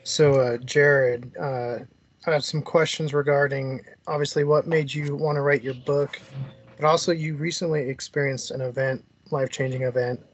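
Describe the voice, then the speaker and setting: A man with a medium-pitched voice hosts an interview. He is casual and a little hesitant, phrasing things loosely as he goes. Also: fairly even intonation, moderate pace. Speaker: interview host.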